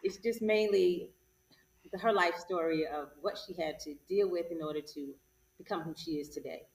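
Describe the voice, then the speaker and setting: A woman speaking as an interview guest in a halting, unscripted way. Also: lowish voice, a little mellow. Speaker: show guest discussing a play. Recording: echoey room, distant mic.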